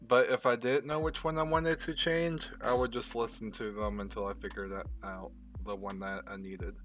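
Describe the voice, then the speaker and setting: A man's voice: lowish voice, fairly even intonation, moderate pace, fairly bright tone. Distant-miked man giving a casual tutorial, music behind. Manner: informal, talks through each step as they do it. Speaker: tutorial host. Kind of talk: casual step-by-step software tutorial narration. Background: background music.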